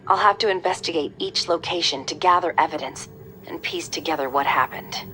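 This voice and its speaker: An actress playing a determined young covert operative in a game cutscene, clipped and dry. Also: female voice, low-pitched, a little fast, bright, forceful tone, slight background noise, rough recording. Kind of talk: video game cutscene acting.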